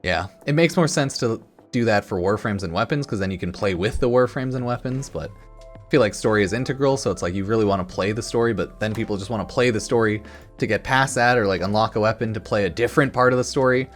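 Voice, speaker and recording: A man's voice: on the low side, fast talker. A man giving casual, rambling commentary as a gaming YouTuber, informal and noncommittal, with some mild swearing. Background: music in the background.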